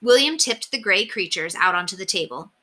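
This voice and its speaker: A woman's voice: medium pitch, expressive, a little fast, sharp tone. A woman narrating a children's story expressively, as a teacher reading aloud.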